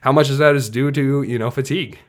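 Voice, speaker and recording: A man with an average-pitched voice co-hosts a sports podcast. He talks casually and conversationally, offering opinions with a self-deprecating streak. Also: quick pace. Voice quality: slight trouble saying hard NG sounds.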